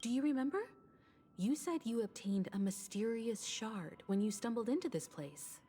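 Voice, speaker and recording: A woman playing a composed, perceptive adult woman in a video game, explaining things in a measured, assured way. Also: a little high, animated, dark tone, husky. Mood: assured, curious. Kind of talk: video game story acting.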